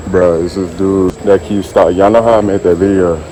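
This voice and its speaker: A man's voice: low voice, fairly even intonation, moderate pace, warm tone. A young American man vlogging in a loose, slangy way, often saying bro and like. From United States. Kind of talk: casual vlog chat. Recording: roomy, distant, air-conditioner hum.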